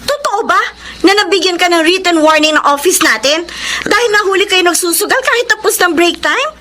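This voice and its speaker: A woman's voice: very high-pitched, fairly quick, bright, forceful tone. A Filipino-accented woman playing an exasperated, scolding girlfriend in a radio drama. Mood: exasperated, reproachful.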